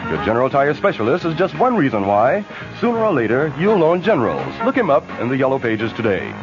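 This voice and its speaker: A man's voice: medium pitch, big pitch swings, brisk, loud music behind the voice. An American man reading a 1970s radio news bulletin as the newscaster. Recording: dull lo-fi 1970s radio broadcast.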